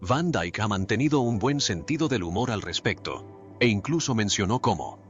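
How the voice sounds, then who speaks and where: A man reading a scripted documentary narration in an even, measured way. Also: lowish voice. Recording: dull lo-fi voice-over with faint music. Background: faint background music.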